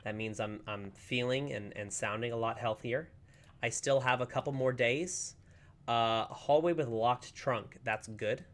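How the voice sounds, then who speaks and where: A man streaming a game in casual, rambling chat, slightly hoarse as he recovers from a lost voice. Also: medium pitch, a little slow, fairly bright tone. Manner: chatty, upbeat, rambling with many fillers. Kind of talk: casual livestream chat while playing.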